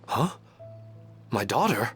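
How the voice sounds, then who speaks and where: A man playing a humble, anxious and devoted father in a game, speaking in a halting, earnest way. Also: medium pitch, big pitch swings, fairly bright tone, faint music behind. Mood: confused, worried. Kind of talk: scripted game dialogue.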